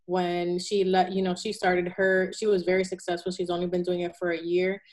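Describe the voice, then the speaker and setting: A woman's voice: fairly low pitch, monotone, fairly quick, a little mellow, poor audio. A young woman from Venezuela, a guest in a casual interview, talking earnestly with fillers and self-corrections.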